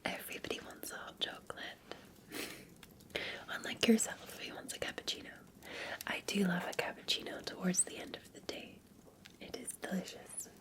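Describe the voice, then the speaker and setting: A Scottish woman whispering softly and breathily in a gentle, soothing, unhurried ASMR roleplay as a café barista. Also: on the low side, steady tone.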